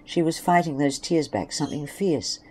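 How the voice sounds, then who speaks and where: A man's voice: a little high, moderate pace, a little hiss or hum. A man reading scripted story narration for an audio drama.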